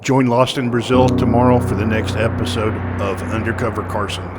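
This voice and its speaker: A man reading a channel promo announcement in a steady, flat way. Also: mid-range voice, fairly quick, very noisy. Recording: loud music bed under voice.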